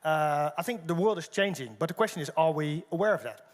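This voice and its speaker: A man co-hosting a conversation on a conference stage, animated, tossing questions to the audience and often tagging on "right?". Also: a little high, fast. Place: large conference hall stage. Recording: long reverb of a large hall.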